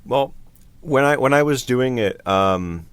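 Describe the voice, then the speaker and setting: A man chatting casually about his creative work as a composer, in a halting, hesitant way. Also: mid-range voice, lively intonation, smooth, no room sound. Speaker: composer for an audio drama.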